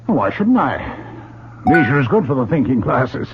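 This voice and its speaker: A man's voice: medium pitch, very animated, moderate pace. An actor playing a dry, skeptical middle-aged father in an old-time radio drama, wry and probing. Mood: curious. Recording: dull, lightly noisy old radio recording.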